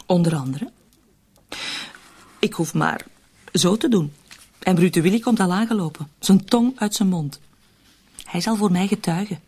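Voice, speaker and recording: An actress playing a cunning, cold woman in a radio drama, persuasive and controlled, with a husky, often breathy voice. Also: on the low side, measured pace, pauses often, mellow. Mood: coaxing, scheming. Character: cunning, cold, manipulative woman plotting to shift murder blame. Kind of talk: Dutch-language radio drama acting.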